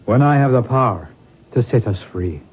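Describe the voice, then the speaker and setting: A man's voice: medium pitch, expressive, normal speed, over music, close to an everyday voice. A man playing a dignified, protective middle-aged lord and father with a grave, formal manner in a radio drama. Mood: grave, resolute. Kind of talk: 1970s radio drama acting. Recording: noisy, tinny old radio broadcast.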